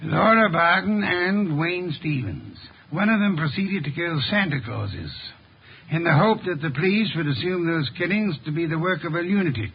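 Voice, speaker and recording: An actor playing an eccentric, pompous middle-aged detective in a 1950s radio drama, speaking in precise, formal diction. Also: male voice, on the high side, lively intonation, normal speed, husky. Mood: explaining, assured. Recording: muffled vintage 1950s radio recording.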